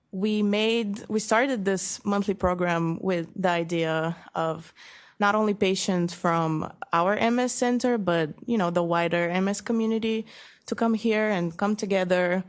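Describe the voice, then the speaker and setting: Woman host introducing a speaker. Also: fairly low pitch. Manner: hesitant, many fillers, then reads bio. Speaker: seminar host. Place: lecture room.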